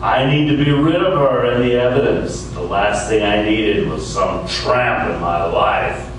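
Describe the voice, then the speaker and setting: A menacing villain, played by a man, slow and commanding, with scornful threats in radio-play style. The sound is distant and heavily echoed. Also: fairly low pitch, background noise, close to an everyday voice. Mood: cold, scornful. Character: menacing, scornful male crime boss holding people at gunpoint. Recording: echoey, distant stage recording with music.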